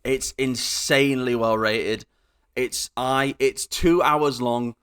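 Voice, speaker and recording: A man's voice: mid-range voice, steady tone, takes it slowly, a bit bright, smooth. A young man co-hosting a casual podcast, rambling and laughing as he drifts into tangents. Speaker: podcast co-host.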